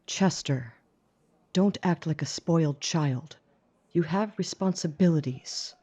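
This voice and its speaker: A woman playing a young, haughty werewolf family enforcer in an audio drama, cold, sneering and aggressive, with a dark husky voice on a dull recording. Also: low voice, takes it slowly, heavily acted. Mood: haughty scolding. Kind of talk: scripted audio drama acting. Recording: dull, muffled lo-fi microphone.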